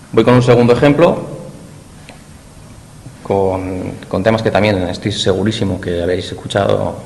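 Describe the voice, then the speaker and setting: A man's voice: on the low side, gravelly. A man with a Spanish accent giving an academic conference talk in a lecture hall, explaining and addressing his audience directly. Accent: Spain. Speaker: university lecturer. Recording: reverberant hall, faint noise.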